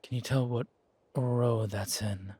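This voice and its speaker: A man playing a sardonic, weary vampire in an audio roleplay, speaking in a measured, formal, wry way. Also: medium pitch, fairly even intonation, warm tone, breathy edge, no room sound. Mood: musing, calm. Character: sardonic, weary male vampire, protective of a little companion. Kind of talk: scripted audio roleplay drama.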